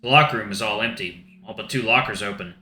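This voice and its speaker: Reflective male game narrator, bright tone, echoey room, soft guitar behind. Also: fairly low pitch, fast talker. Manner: reflective, subdued reading. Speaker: let's-play narrator. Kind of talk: first-person visual novel narration. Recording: roomy echo with faint guitar music.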